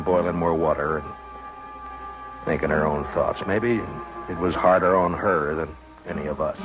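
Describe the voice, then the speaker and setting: An American man playing a stoic Old West marshal, firm but gentle, in a measured, weary 1950s radio western style. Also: low-pitched, lightly acted. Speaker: lead character and narrator. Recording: muffled, noisy old radio recording.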